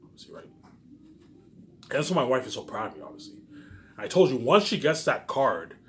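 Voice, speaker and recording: A man's voice: mid-range voice, very animated, normal speed, a bit bright. Boastful, repetitive man rambling over background noise. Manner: repetitive, with emphatic catchphrases; boastful, self-assured. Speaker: vlogger. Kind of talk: rambling casual monologue to viewers.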